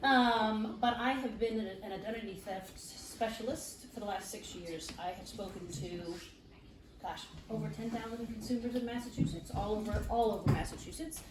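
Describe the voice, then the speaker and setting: A woman's voice: mid-range voice, normal speed. A woman who works as an identity theft specialist, speaking chattily to a live group with many fillers. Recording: roomy, distant mic, clear background noise.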